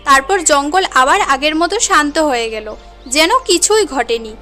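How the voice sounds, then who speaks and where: A woman's voice: sits high, expressive, normal speed, pushed and bright, soft background music. A woman giving scripted narration for a cartoon story as its narrator.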